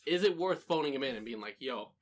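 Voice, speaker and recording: A man trading quick jokes and teasing remarks with his podcast co-host, words tumbling out at a brisk clip. His voice sits at a plain middle pitch. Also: lively intonation. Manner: joking, teasing banter. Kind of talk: casual chat.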